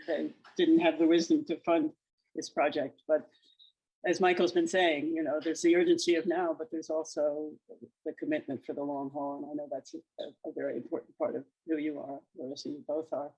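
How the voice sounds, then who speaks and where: Husky gravelly lady moderator, chatty, lots of uhs. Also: low voice, flat delivery, normal speed, highs cut off. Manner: warm, conversational questioning with hesitations. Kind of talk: online panel discussion.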